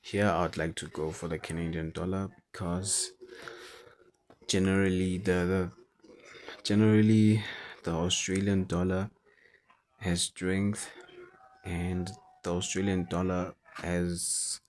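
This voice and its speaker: A man who is not a native English speaker, talking through forex charts in a halting way and repeating words as he goes. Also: sits low, flat delivery, darkish tone, a little hiss or hum. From South Africa. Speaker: forex trader. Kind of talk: chart analysis commentary.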